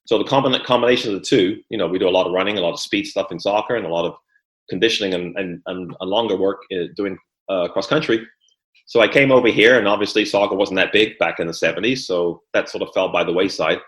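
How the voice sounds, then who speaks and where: A man's voice: low voice, fast, fairly bright tone. A middle-aged man from Guyana, raised in England, talking easily as a podcast guest about his sports career and often repeating words as he starts a sentence. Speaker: former Olympic hurdler and trainer, guest. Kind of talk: conversational interview. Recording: echoey room.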